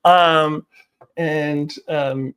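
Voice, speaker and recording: A woman's voice: sits low, steady tone, very slow, clean tone. A woman giving casual, unscripted commentary as a video host, speaking in an emphatic, opinionated way.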